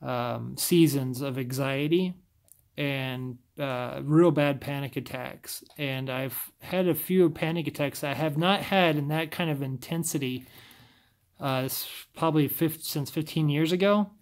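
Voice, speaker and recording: A man's voice: on the high side, a little slow. Scratchy-voiced man, stumbling over words. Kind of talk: casual talk to camera.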